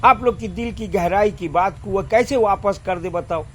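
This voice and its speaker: High-pitched Indian man, scolding and sarcastic. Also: moderate pace, a bit bright, a little hiss or hum. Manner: rhetorical questions, repetitive chanting phrases. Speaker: vlogger giving political commentary. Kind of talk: impassioned unscripted monologue to listeners.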